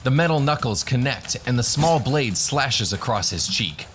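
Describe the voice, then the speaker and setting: A man's voice: medium pitch, animated, moderate pace, background noise. A man narrating a scripted fantasy adventure as the game master of a radio drama.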